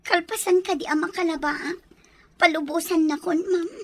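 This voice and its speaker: Actress as scared young maid held captive; very high, meek, pleading radio drama voice. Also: female voice, steady tone, normal speed, dry recording. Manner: pleading, deferential. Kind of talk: Ilocano radio drama acting.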